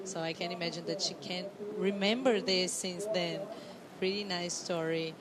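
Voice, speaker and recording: A woman's voice: fairly low pitch, fairly even intonation, normal speed, over a noisy crowd. A woman co-commentating live sport in a conversational way, prompting her colleagues.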